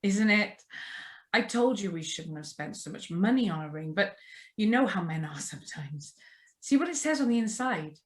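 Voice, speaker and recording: A nervous, trusting young newlywed acted by a woman, chattering away with very lively intonation. Her voice sits at a middling female pitch, in a radio play read live on a video call. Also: moderate pace, natural voice. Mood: light, chatty. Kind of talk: radio drama acting. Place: remote, on a Zoom video call.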